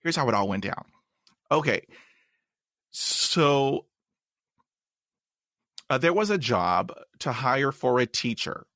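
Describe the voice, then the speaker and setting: A man hosts a casual podcast chat and tells his own story at a very slow, unhurried pace. He stutters, repeating sounds and stalling on words. The sound is dry and close, with no room echo. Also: mid-range voice, expressive, sharp tone. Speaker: podcast host.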